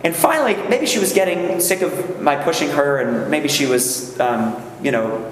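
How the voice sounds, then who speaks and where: A man's voice: a little high, animated, normal speed. A man, a rabbi, leading a workshop and talking conversationally to a gathering, often checking in with the listeners. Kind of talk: speech to a gathering. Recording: echoey hall, faint room noise.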